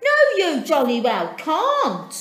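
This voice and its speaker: A woman voicing a grumpy young male penguin who wants to be left alone, huffy and loud. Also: high voice, wildly swooping pitch, slow, roomy sound, voice pushed up, full character voice. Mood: cross.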